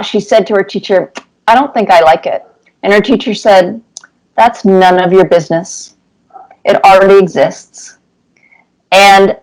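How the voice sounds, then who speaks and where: A woman's voice: lowish voice, poor audio. A woman in her fifties giving casual, thoughtful interview answers as a guest, with little anecdotes. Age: 50s. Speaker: cartoonist guest being interviewed.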